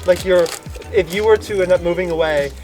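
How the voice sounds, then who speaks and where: A man's voice: sits high, brisk, over music. A young man from the United States, a travel vlogger on a mountain trail eagerly interviewing hikers in a casual, rambling way.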